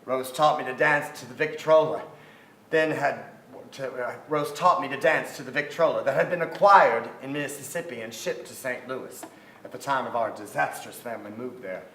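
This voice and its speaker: Reflective playwright, forties, deliberate and literary, staged reading in a reverberant theatre. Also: male voice, medium pitch, pushed and bright. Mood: fond, reflective. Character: reflective Southern playwright remembering his beloved troubled sister. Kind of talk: dramatic staged reading of memoir. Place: theatre stage before an audience. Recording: echoey theatre stage, noticeable noise.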